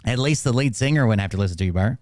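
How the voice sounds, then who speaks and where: A man's voice: mid-range voice, expressive, very fast, darkish tone, a little hiss or hum. A man with a nasal voice hosting a livestream, giving casual reaction commentary with dry, teasing remarks. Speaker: livestream host.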